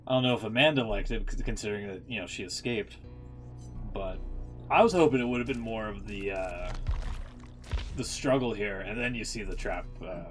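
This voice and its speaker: Guy streamer just rambling reactions, slangy n sweary, normal pitch voice, bit of music in the bg. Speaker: streamer reacting to game story cutscenes. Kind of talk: casual off-the-cuff reaction commentary.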